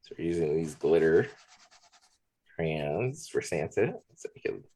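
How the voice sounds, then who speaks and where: A man leading a drawing demonstration, talking in a relaxed way as he draws. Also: rumbling low, unhurried. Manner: relaxed, talks while drawing. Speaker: art instructor. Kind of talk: drawing demonstration, thinking aloud.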